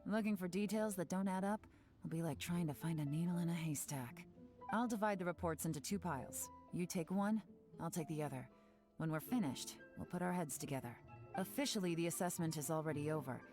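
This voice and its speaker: A cool, sharp-minded female investigator from a video game, voiced by a woman. Her low, mellow voice stays calm and measured as she reasons things out, and soft game music sits faintly behind her. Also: close to an everyday voice. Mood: reasoning. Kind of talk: game voice acting.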